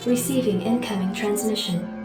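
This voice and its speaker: A female military computer announcer, clipped and emotionless. The voice is synthetic and drenched in echo, with clear music behind it. It delivers formal briefings in a science fiction game. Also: mid-range voice, steady tone, normal speed, far from the mic. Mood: impassive. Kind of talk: scripted video game briefing. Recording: heavily echoing voice over music.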